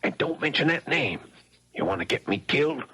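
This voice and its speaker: A man's voice: mid-range voice, big pitch swings, fairly quick, bright, forceful tone, breathy edge, heavily acted. A man playing a desperate, shifty small-time thief on the run, in a 1970s radio drama. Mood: desperate pleading.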